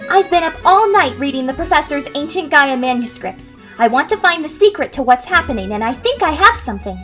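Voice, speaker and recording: A woman's voice: high voice, sing-song, brisk, fairly bright tone, soft background music. A woman playing an earnest, insistent young girl hedgehog in an audio drama. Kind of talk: audio drama character acting.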